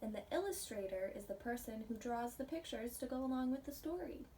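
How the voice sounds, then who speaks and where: An American woman, a pre-K teacher, reading a picture book aloud and explaining it simply to young children in a gentle, child-directed way. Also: a little high, lively intonation, fairly quick. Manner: gentle, child-directed, asks the listeners questions. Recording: distant mic, faint background noise.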